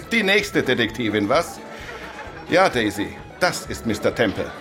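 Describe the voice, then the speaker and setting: Breezy condescending inspector, bouncy intonation, radio play with music. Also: male voice, medium pitch, very animated, measured pace. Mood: wry. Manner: breezy, patronizing. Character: jovial, condescending male police inspector. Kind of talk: radio crime drama dialogue.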